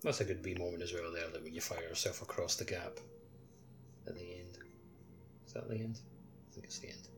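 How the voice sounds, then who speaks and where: Lower-pitched man in casual chat. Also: steady tone, brisk, long pauses, low-quality recording. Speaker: podcast co-host. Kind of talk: casual gaming podcast chat.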